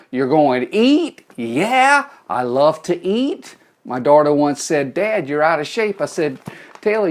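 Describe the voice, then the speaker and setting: A man's voice: fairly high pitch, a little mellow. Folksy Cajun man streaming live. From South Louisiana. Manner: folksy, greets viewers by name, jokes. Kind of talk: live motivational talk to viewers. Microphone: phone.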